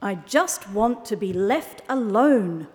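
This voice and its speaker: Storybook owl, played by a woman: male, grumpy loner, curt grumbling delivery, slow and drawn out, in a long reverb. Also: a little high, big pitch swings, darkish tone. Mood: grumpy, indignant. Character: grumpy male owl wanting solitude, indignant.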